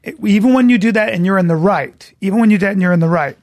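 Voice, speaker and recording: A man's voice: high-pitched, racing, a little mellow, no room sound, clean studio sound. A man co-hosting a podcast in casual chat, talking in an emphatic, lecturing, jokey way. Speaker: podcast co-host.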